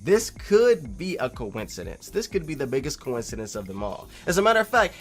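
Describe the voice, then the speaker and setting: A man with a mid-pitched voice talks through his opinions as a video host, with music behind him. He is casual but emphatic, restating phrases for weight. His delivery moves between insistent, louder stretches and quieter asides. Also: sing-song, fairly quick. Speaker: video host replying to a viewer comment. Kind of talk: casual opinionated commentary to camera.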